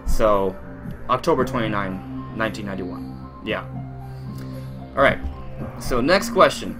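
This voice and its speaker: A young man in his twenties from Miami chats loosely on a live stream, doubling back on his words and talking straight to his viewers. His voice sits in an ordinary middle pitch. Faint music plays softly under him. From Miami, Florida, USA. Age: 20s. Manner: rambling and chatty, many fillers and restarts, addresses chat directly. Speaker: YouTuber hosting a live Q&A stream. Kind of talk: casual live-stream chat.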